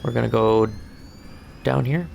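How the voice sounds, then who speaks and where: A hoarse man giving calm step-by-step commentary through a stealth game walkthrough. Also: medium pitch, unhurried, dull audio, slight background noise. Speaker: gameplay walkthrough narrator.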